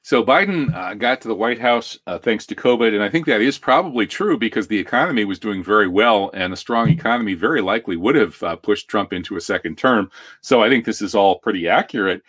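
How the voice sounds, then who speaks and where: An American man with a fairly low voice hosts a news commentary show. He talks conversationally, with a wry, tongue-in-cheek edge. The sound is dry, with very little room around him. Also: expressive, fairly quick, fairly bright tone, husky, no room sound.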